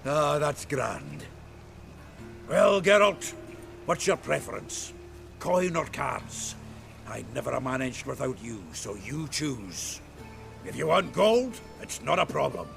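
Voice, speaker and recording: An actor playing a gruff, jovial, foul-mouthed dwarf in a video game, talking bluntly and heartily. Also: male voice, mid-range voice, big pitch swings, slow pace, fairly bright tone, faint music behind, full character voice. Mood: pleased, hearty. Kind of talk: video game character acting.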